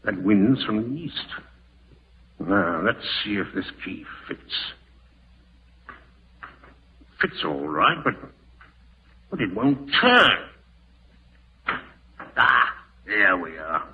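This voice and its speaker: A man's voice: lowish voice, sing-song, slow, long pauses, full character voice. An actor playing a greedy, panicky, murderous man with frantic outbursts and menacing muttering, in old radio horror style. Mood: muttering, tense. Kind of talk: 1940s radio horror acting. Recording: tinny band-limited old radio broadcast.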